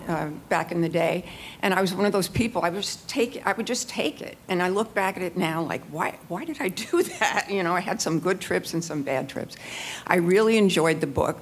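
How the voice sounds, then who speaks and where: A woman in the audience of a live event, making a casual comment and asking questions. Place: live book fair event. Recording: low rumble, noisy live event.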